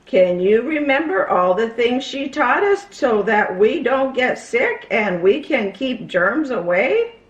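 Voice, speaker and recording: A woman's voice: fairly high pitch, big pitch swings, slow, hardly any pauses. A woman voicing a cheerful, friendly sidekick character on a children's show, with dull, echoey sound.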